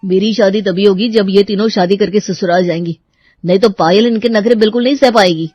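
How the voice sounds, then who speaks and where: A woman's voice: medium pitch, a little fast, a little mellow, no echo, soft background music, close to an everyday voice. A woman voicing a dutiful, exasperated young brother in a cartoon story. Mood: earnest, resigned. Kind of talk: Hindi cartoon story voice acting.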